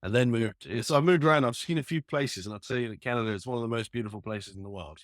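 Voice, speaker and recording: A man's voice: mid-range voice, fast talker, dry recording, studio quality. A man from Ireland, raised in London, talking as an interview guest about his acting work, easygoing and often restarting his sentences. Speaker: actor and writer guest. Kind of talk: casual interview chat.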